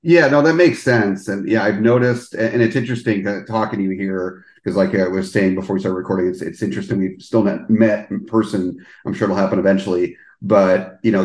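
Low-voiced rambling podcast host, echoey. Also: male voice, a little mellow. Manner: rambling, many restarts and fillers. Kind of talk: casual interview chat.

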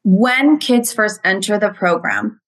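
A woman's voice: medium pitch, very animated, slow, a bit bright, poor audio. Earnest woman teacher explaining. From Mexico. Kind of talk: interview conversation.

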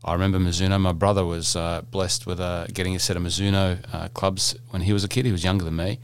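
Middle-aged male podcast host, low voice, rambling and jokey, loose casual delivery. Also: flat delivery, fast, a little hiss or hum. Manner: chatty, rambling, jokey, many fillers and restarts.

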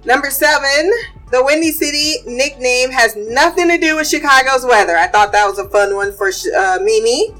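A woman's voice: sits high, moderate pace, a bit bright, soft background music, poor audio. A woman hosting a casual podcast, running through celebrity gossip and fun facts, chatty and blunt, swearing freely. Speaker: podcast host. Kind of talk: casual pop culture chat and fun facts.

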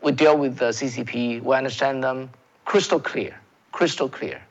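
A man's voice: medium pitch, steady tone, moderate pace, highs cut off. A man from China, a native Chinese speaker with a Chinese accent, hosting solo political commentary. He talks emphatically, often repeating words and restarting phrases, and calls his viewers "folks.".

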